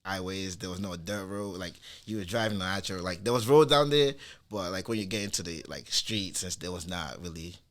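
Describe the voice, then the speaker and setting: A man in his twenties from Nigeria, a DJ guest talking in a relaxed, easygoing way with casual slang. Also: low-pitched, fairly quick, few pauses. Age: 20s. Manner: laid-back, easygoing slang. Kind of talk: casual podcast chat.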